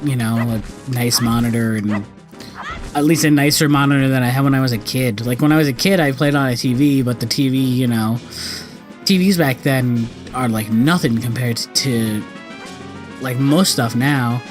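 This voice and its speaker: A man's voice: medium pitch, moderate pace, music in the background. A young man giving casual let's play commentary, rambling and often correcting himself as host. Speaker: gaming video host.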